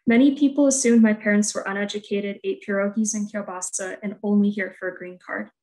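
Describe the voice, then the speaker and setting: A woman whose first language is Polish, reading a scripted artist talk about her work in a soft, measured, reflective way over earbuds on a video call. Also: mid-range voice, steady tone, rough recording. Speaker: art student presenting her thesis work. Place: room at home, indoors.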